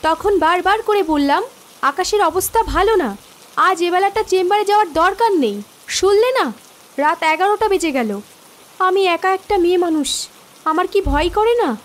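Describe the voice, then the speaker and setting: A woman's voice: extremely high pitch, animated, moderate pace. A woman voicing a scolding, anxious wife in a cartoon, with a nagging, reproachful manner. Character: scolding, anxious wife waiting alone at night. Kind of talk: cartoon voice acting.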